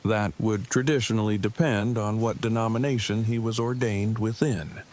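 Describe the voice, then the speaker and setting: A man's voice: lowish voice, fairly even intonation, normal speed, darkish tone, close to an everyday voice. An actor playing an earnest, awkward, bookish man in a post-apocalyptic audio drama, speaking in verbose, formal, precise phrases. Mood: matter-of-fact. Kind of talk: fan audio drama acting. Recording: clearly noisy background.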